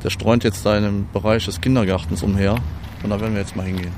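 A man's voice: on the low side, flat delivery, a little mellow, slight background noise. A man working as an enforcement officer, addressing people formally and firmly outdoors in a city park. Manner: brisk, formal and firm. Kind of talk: official on-the-street exchanges.

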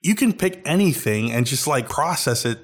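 A man's voice: medium pitch, animated, moderate pace. Man with an American accent, rambling. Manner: rambling, with many restarts and fillers. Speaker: podcast guest. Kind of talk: casual chat.

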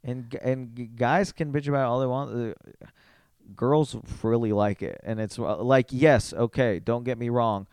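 Blunt, slangy man, clear smooth voice. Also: medium pitch, fairly even intonation, moderate pace, darkish tone, dry recording. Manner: frequent swearing, blunt slangy talk. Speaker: podcast guest, comedian. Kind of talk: casual podcast conversation.

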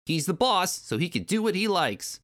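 Shrill, snappy little crocodile henchman. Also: normal speed. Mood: defensive, irritated. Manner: shouting, excitable. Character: small toothy male Kremling crocodile henchman, loud, snappy.

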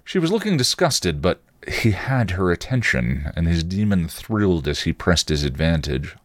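A man's voice: low-pitched, sing-song, normal speed, no echo. A man hosting a podcast, narrating fan fiction as a storybook reading.

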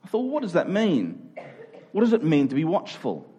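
A man's voice: fairly high pitch, wildly swooping pitch, normal speed, warm tone, breathy edge, dull audio. A man preaching in a conversational, earnest and self-deprecating way, thinking aloud and repeating phrases as he goes. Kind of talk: conversational sermon.

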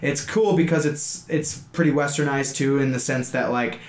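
A man's voice: medium pitch, steady tone, normal speed. A man aged twenty in a casual interview chat, talking in a rambling, slangy, enthusiastic way as a fighter. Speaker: amateur MMA fighter being interviewed. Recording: echoey room with faint background noise.

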